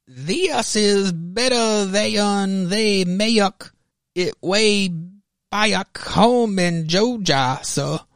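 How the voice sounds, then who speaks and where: A man's voice: high voice, a bit bright, clean tone, dry recording, studio quality, voice pushed up, heavily acted. Man doing a drawling Southern woman diner. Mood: gushing. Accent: American South. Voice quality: drawn-out exaggerated drawl.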